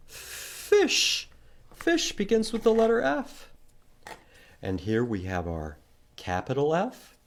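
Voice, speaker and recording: A man leads a children's storytime at a slow, unhurried pace. His pitch moves around a great deal as he reads aloud and puts simple questions to young listeners. The delivery is expressive and easy to follow. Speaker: library storytime host reading to children. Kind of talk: children's storytime read-aloud and letter lesson.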